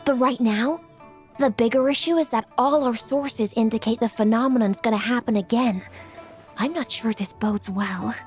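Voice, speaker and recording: Dutiful young official for a game, actress: slightly high voice, serious, to the point. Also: female voice, moderate pace, soft background music, natural voice. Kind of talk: video game voice acting.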